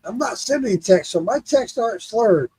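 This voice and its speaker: A man hosting a casual live podcast who is a bit tipsy from drinking, warm in his thanks and earnest as he repeats them. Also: extremely high pitch, lively intonation, normal speed, slightly rough, dry recording. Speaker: podcast host.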